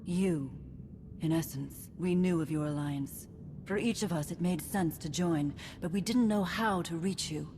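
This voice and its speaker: Poised ally, long reverb. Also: female voice, lowish voice. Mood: gracious, earnest. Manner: formal, gracious, measured. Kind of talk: video game acting. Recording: long reverb, noisy ambience.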